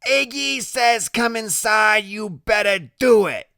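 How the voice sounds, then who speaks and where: A man acts out a nervy, smoking biker's woman in an audiobook. The voice is pitched very high, swooping and nagging. The talk is slangy and uneducated. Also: expressive, takes it slowly, sharp tone, no echo, studio quality, full character voice. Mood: warning.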